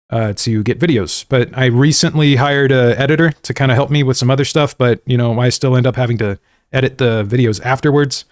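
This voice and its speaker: A man's voice: medium pitch, no echo, studio quality. An American man hosting a gaming livestream, chatting casually to viewers in a rambling, slangy, self-deprecating way. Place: indoors at a desk.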